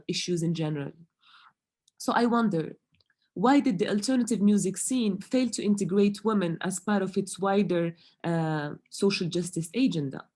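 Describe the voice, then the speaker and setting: Mellow-voiced young woman giving an academic talk. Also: mid-range voice. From Morocco. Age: young adult. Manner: careful, measured, with frequent hesitant fillers. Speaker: doctoral researcher presenting at a conference. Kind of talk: academic conference presentation.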